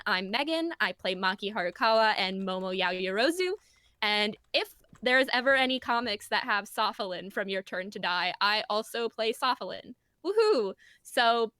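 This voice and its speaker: A woman's voice: fairly high pitch, pushed and bright, no room sound. A woman hosting an informal panel, introducing it and explaining how questions work. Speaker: panel host.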